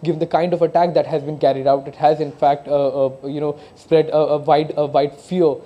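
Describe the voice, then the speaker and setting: A man with an Indian accent giving live analysis as a news correspondent, speaking haltingly and often repeating himself. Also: fairly high pitch, flat delivery, lo-fi sound. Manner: halting, hesitant delivery with frequent repeated words.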